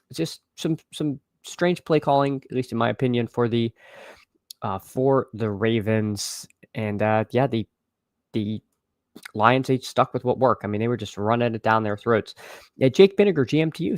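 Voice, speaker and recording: A man with a slightly froggy, hoarse voice chatting casually as a fantasy football livestream host, haltingly repeating phrases as he thinks aloud. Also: mid-range voice, dry recording, clean studio sound. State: slightly hoarse, froggy after losing his voice at a game. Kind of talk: casual livestream chat and sports commentary.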